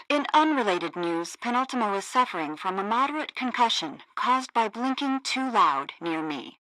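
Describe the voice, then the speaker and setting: Sassy female radio news co-host, peppy and bright, close dry studio sound, satirical game broadcast. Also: mid-range voice, no room sound, low-quality recording. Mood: hungover, subdued. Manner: animated, exaggerated newsreader delivery.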